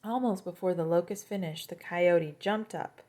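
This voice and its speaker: A woman's voice: fairly low pitch, normal speed, a little mellow. A woman storyteller reading a children's story aloud, with explanatory asides to young listeners.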